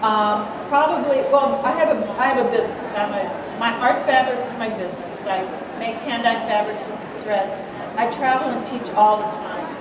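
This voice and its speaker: A woman's voice: fairly high pitch, normal speed. A middle-aged woman from Colorado, a quilt artist and teacher, chatting casually and self-deprecatingly with plenty of fillers, recorded from a distance at a show. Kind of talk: casual demonstration and interview. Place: large echoey hall at a quilt show. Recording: echoey hall from far off, loud ambient noise.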